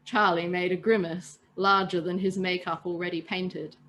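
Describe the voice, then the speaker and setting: A woman's voice: fairly low pitch, little pitch movement, normal speed, a bit bright, dull audio, slight background noise. A woman telling a written story as a podcast host, narrating with the odd stumble and self-correction. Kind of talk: reading a written story aloud.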